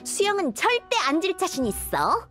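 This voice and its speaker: Proud high-voiced young girl mage. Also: wildly swooping pitch, moderate pace, fairly bright tone, soft background music, full character voice. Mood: indignant, competitive. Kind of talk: anime game voice acting.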